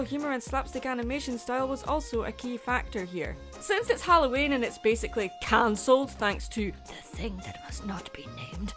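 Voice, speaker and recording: A woman hosting a cartoon review channel, reading a scripted video essay narration. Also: fairly high pitch.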